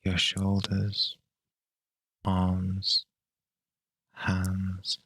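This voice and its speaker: A man leading a guided meditation in a calm, measured, unhurried way with long pauses between phrases. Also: low-pitched, no room sound.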